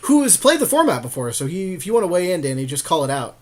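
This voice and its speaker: Man, slightly high voice, podcast co-host, chatty, agreeable, casual game talk. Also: sing-song, fast, darkish tone. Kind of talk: casual card game draft commentary.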